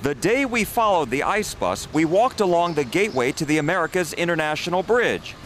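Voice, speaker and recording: A man's voice: fairly high pitch, sing-song, brisk, heavy background noise. A man working as an investigative reporter, reading scripted news narration.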